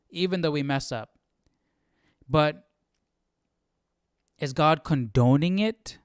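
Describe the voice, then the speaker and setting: A man's voice: on the high side, clear, resonant tone, no echo, clean studio sound. A man hosting a casual Bible study, thinking aloud in a hesitant, questioning way and often checking in with the listener. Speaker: Bible study livestream host.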